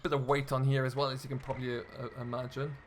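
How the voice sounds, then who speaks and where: A man's voice: mid-range voice, flat delivery, fast talker, slight background noise. A man hosting a gaming video, giving casual, chatty role-play commentary and talking himself through the driving as he plays.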